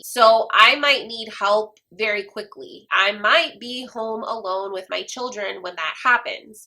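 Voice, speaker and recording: Congested woman, bright voice. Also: on the high side. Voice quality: congested, stuffy-nosed. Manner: earnest, gentle, encouraging. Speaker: homeschooling mom vlogger. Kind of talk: personal talk to camera.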